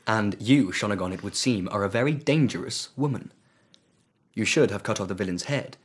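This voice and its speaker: An actor playing a youthful, imperious and curious emperor in a radio drama, with a regal, inquisitive manner. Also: male voice, on the low side, normal speed, slightly rough. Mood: curious, amused.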